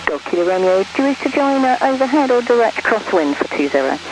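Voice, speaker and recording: A woman's voice: a little high, sing-song, fast. A woman working as an air traffic controller, giving instructions to pilots over aviation radio. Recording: noisy aircraft radio transmission.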